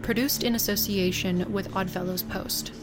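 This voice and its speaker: Female announcer, medium pitch, scripted credits read, clear music bed. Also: steady tone, normal speed.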